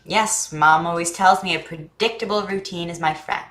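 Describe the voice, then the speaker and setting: A woman's voice: on the low side, fairly even intonation, moderate pace, fairly bright tone, roomy sound, close to an everyday voice. A woman acting a naive, chatty young boy in a staged play reading, earnest and full of questions. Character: naive, eager, chatty young boy who loves jokes.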